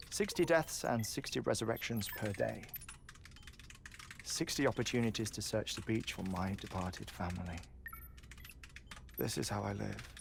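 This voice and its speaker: An actor playing a courteous, obsessive middle-aged scientist in grief, articulate and wry, in a video game cutscene. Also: male voice, on the low side, creaky, a little hiss or hum. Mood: matter-of-fact, wry.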